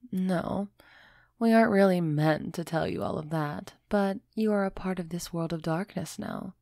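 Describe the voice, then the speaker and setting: A woman playing a refined, centuries-old female vampire in an intimate audio roleplay, gently imperious and softly teasing as she speaks straight to the listener. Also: fairly low pitch, no echo, clean studio sound, close to an everyday voice. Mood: candid, measured. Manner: slow, measured, softly teasing, addressing listener directly.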